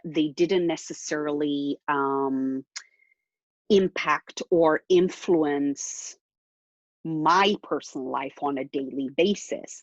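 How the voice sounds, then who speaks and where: A woman's voice: rumbling low, breathy edge, dry recording, muffled recording. A woman in her thirties from Romania, talking earnestly in a casual interview over a video call, with many fillers and repeated words. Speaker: interview guest, blockchain project co-founder.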